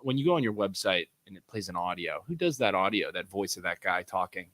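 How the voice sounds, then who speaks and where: A man's voice: sits low, expressive, fast talker, a bit bright, no echo. A man co-hosting a casual podcast, joking and chiming in playfully. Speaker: podcast co-host.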